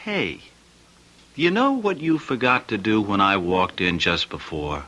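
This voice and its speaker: An affectionate, breezy husband in a vintage radio drama. His voice is very sharp and bright, with animated swings of pitch as he teases and dodges. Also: a little slow, exaggerated voice. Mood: playful. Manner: casual, teasing, evasive. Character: breezy affectionate husband, brushing worries aside. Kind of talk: old-time radio drama acting.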